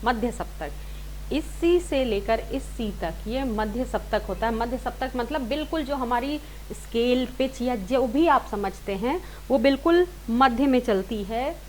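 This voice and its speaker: Higher-pitched woman teaching singing. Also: lively intonation, measured pace, darkish tone, slight background noise, low-quality recording. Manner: explanatory, rephrases and repeats points, mixes in English words. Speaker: singing teacher, series host. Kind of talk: informal step-by-step music lesson.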